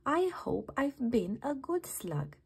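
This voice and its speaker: A woman's voice: high voice, very animated, slow pace, creaky, darkish tone, husky, voice pushed up, heavily acted. A woman voicing a small, eager, kind-hearted slug from a storybook, earnest and exclamatory. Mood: hopeful.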